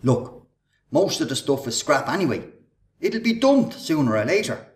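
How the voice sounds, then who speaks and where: Male actor as bullying Irish ringleader, husky and swooping in pitch, sarcastic, menacing, radio drama. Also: very animated, normal speed. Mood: impatient, bossy. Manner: taunting, sarcastic, bossy. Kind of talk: radio play acting.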